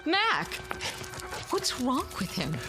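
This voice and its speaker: A woman's voice: high voice, wildly swooping pitch, extremely slow, music in the background, full character voice. An actress playing a distraught mother in a radio drama, with an anguished, pleading delivery. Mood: alarmed. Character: distraught mother searching for her missing daughter.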